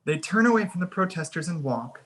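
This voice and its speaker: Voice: mid-range voice, expressive, fairly quick. A narrator reading screenplay action lines and scene headings in a scripted table read.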